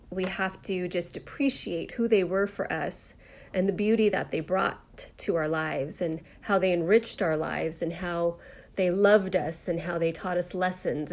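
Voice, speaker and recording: A woman with a slightly nasal voice from a cold, hosting a live online talk show and speaking in a gentle, heartfelt way with many fillers. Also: fairly low pitch, normal speed. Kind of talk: casual live-stream monologue.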